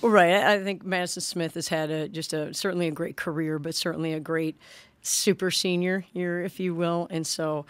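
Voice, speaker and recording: A woman in her forties, a head basketball coach, answering questions at a postgame press conference in a measured way, often restarting her sentences. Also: low voice, no room sound. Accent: American. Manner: measured, frequent restarts and "you know". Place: press room in front of a sponsor backdrop. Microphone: desk microphone on a stand.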